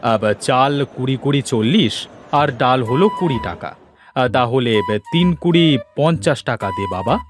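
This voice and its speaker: A man's voice: medium pitch, lively intonation, measured pace, over music, natural voice. A man voicing a friendly, slightly muddled older village shopkeeper in a cartoon.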